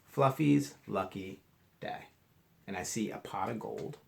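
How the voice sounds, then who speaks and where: A man reading a storybook aloud to children like a teacher, expressively, pausing to ask questions and explain. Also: fairly low pitch, vocal fry, a little mellow, a little hiss or hum. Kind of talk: interactive storybook read-aloud.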